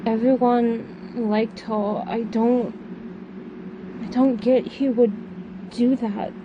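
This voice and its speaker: A woman's voice: on the high side, fairly even intonation, slow pace, dull audio. A woman playing an anxious, grieving young daughter in an amateur audio drama, speaking in a hesitant, subdued way. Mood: sad. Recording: noisy hobby recording.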